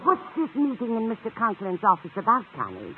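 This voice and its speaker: A woman's voice: mid-range voice, huge pitch swings, a little fast. A dotty older landlady from a radio sitcom of long ago. She is sweet and scatterbrained, her voice swooping about in fluttery arcs. The sound is thin with light hiss. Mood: curious. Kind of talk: old-time radio situation comedy acting. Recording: narrow, hissy old radio broadcast.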